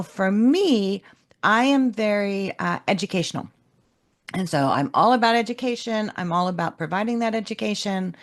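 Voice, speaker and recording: Calm woman coach with a gravelly voice. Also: mid-range voice. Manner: calm, measured, explanatory. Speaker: webinar co-host, leadership coach. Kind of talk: instructional webinar talk.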